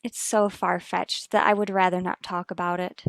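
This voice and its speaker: A woman's voice: lowish voice, level tone, moderate pace, gravelly, dry recording. An actress playing an anxious, troubled mother in an old radio soap, speaking earnestly and formally. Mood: apprehensive. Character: anxious, troubled mother and wife, polite and guarded. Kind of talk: mid-century radio soap acting.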